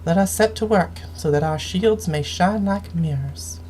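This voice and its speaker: A woman game streamer reading story texts aloud in a measured, dramatic storytelling manner, with noticeable noise in the recording. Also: fairly low pitch, darkish tone. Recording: clearly audible background noise.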